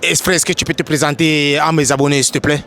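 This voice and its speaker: A man hosting an outdoor street interview, asking animated questions and often checking with "n'est-ce pas?". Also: fairly high pitch, fast talker. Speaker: host, interviewer.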